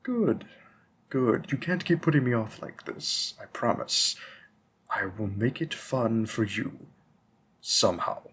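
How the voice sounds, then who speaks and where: A man playing a long-lived, old-fashioned bookstore keeper in a roleplay audio drama, polite, rambling and gently formal as he addresses the listener. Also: medium pitch, lively intonation, takes it slowly, slight background noise. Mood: earnest, coaxing.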